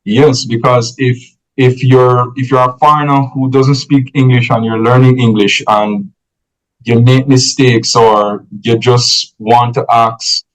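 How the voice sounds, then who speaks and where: A man, a podcast guest giving measured, explanatory advice who often checks in with "right?". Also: mid-range voice, fairly even intonation, rough recording.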